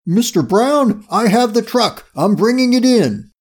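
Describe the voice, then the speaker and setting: A storyteller voicing a hapless male thief and getaway driver, whiny, flustered and loud. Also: mid-range voice, lively intonation, a little slow, pitched above the performer's own voice. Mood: defensive. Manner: whining, yelling, flustered.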